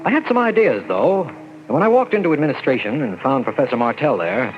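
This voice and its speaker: An actor as a haunted young army officer. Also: male voice, mid-range voice, big pitch swings, a little fast, loud music behind the voice, natural voice. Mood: uneasy. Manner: tense first-person storytelling. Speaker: narrator of the story. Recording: noisy lo-fi old radio recording.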